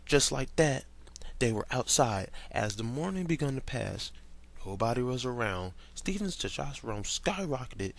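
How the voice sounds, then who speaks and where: A man's voice: medium pitch, gravelly, fairly bright tone. A young man reading fan fiction aloud in a casual way, stumbling over words and breaking off to comment and laugh.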